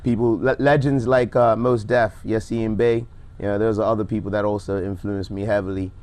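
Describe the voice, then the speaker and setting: A young man with a baritone voice, a rapper talking casually and reflectively as a guest, with many restarts. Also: little pitch movement, fairly quick, a little mellow. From Bermuda. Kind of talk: casual interview chat.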